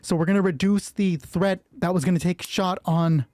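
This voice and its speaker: A game master running a solo tabletop roleplay, thinking aloud and narrating in a sweary, excitable way. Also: mid-range voice, normal speed, no room sound, clean studio sound.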